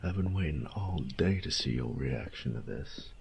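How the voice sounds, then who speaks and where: Man playing a low, creaky boyfriend. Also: animated, normal speed, darkish tone, a little hiss or hum, exaggerated voice. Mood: playful, excited. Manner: drawling, teasing, tender, coaxing, with breathy near-whispers. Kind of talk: intimate scripted audio roleplay addressed to the listener.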